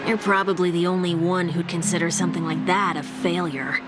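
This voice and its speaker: A woman's voice: lowish voice, steady tone, brisk, very noisy, close to an everyday voice. An actress playing a blunt, sarcastic young woman, a skeptical travel companion in a video game, with a casual, teasing, dry delivery. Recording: loud music under the voice.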